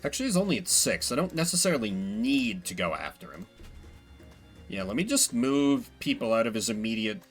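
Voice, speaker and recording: A man's voice: mid-range voice, very animated, brisk, soft background music. A man giving casual, wry solo commentary as he streams a video game.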